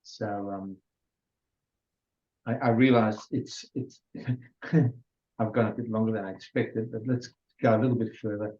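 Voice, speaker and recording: An older man whose first language is Hungarian, giving an informal biology lecture in an unhurried way, with restarts and fillers. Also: fairly low pitch, fairly even intonation, darkish tone. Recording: dull lo-fi recording.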